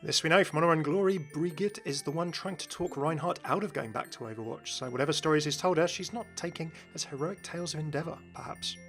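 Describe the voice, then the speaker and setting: A man's voice: on the high side, fast, hardly any pauses, fairly bright tone. A man hosting informal scripted video game commentary. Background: faint background music.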